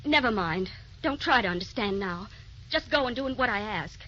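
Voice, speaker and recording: An actress playing a proud, wounded young fiancée in an emotional, theatrical old radio drama style. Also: female voice, medium pitch, lively intonation, normal speed, pushed and bright. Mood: brittle, resolute. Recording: dull, noisy old radio recording.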